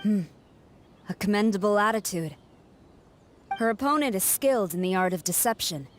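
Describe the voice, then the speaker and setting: A woman's voice: mid-range voice, expressive, slight background noise, natural voice. Stern female samurai general played by a woman. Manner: formal, measured, serious. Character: stern, loyal young female samurai general, proud and fair-minded. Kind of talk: video game character acting.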